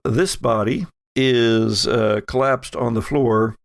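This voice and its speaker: A man narrating a horror tabletop game as game master, halting and pausing mid-phrase as he improvises the scene. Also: medium pitch, gravelly, no room sound, studio quality.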